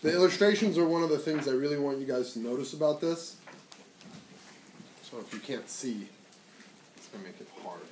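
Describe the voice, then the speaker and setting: Animated man reading to kids, roomy sound. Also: mid-range voice, a little fast, pauses often. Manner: explanatory, prompting young listeners. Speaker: teacher reading aloud to children. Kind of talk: informal picture-book read-aloud with commentary. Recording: roomy echo, distant mic, faint noise.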